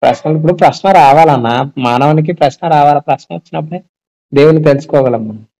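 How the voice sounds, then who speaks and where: A man's voice: a little high, fast talker, mellow. A man talking casually on a phone call about faith, explaining and often asking check-back questions. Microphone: telephone.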